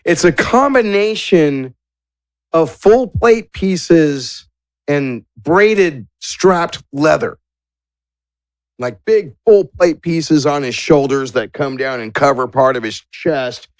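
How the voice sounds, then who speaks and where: A man narrating a tabletop game as game master, searching for words in drawn-out descriptive phrases. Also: on the high side, lively intonation, clear, resonant tone, no room sound, clean studio sound.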